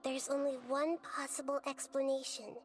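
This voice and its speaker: A woman playing a small, wise young goddess in a game, speaking in a calm, measured, articulate and gently explanatory way. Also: high voice, close to an everyday voice. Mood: grave, thoughtful. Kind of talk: scripted game dialogue. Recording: background music under the voice.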